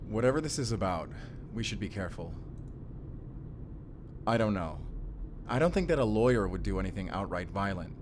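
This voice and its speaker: A man playing a caring, playful but protective boyfriend in an audio roleplay, speaking in a soft, casual, hesitant way. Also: on the low side, expressive, moderate pace, pauses often, background noise, close to an everyday voice. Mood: worried, cautious. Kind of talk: audio roleplay acting addressed to the listener.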